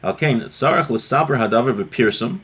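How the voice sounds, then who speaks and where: A man's voice: medium pitch, moderate pace, fairly bright tone, slightly rough. A man giving a religious lesson, reading from a text and explaining it conversationally with rhetorical questions. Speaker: religious teacher.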